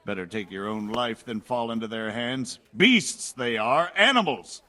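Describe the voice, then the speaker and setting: A man's voice: mid-range voice, animated, unhurried, pushed and bright. An actor playing a fearful, horrified medieval townsman in a video game, speaking grimly and emphatically. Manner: grim, emphatic. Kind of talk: video game dialogue acting.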